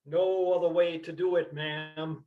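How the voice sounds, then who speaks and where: A man playing a terse, deadpan old farmer from Vermont in an amateur staged play reading. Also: a little high, a little slow, narrow, tinny audio, lightly acted.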